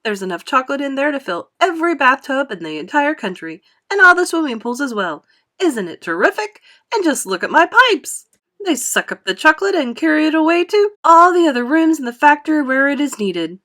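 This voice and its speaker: A woman's voice: high-pitched, big pitch swings, brisk, sharp tone, pitched above the performer's own voice, full character voice. A woman voicing an exuberant, boastful, eccentric male factory owner in a storybook, with theatrical, gushing emphasis. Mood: excited, boastful.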